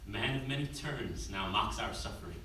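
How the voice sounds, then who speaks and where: Bitter low-voiced chorus soldier, echoing. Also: male voice, expressive, a little fast, bright, forceful tone. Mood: bitter, indignant. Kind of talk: dramatic stage reading of Greek tragedy. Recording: very echoey theater, distant, faint noise.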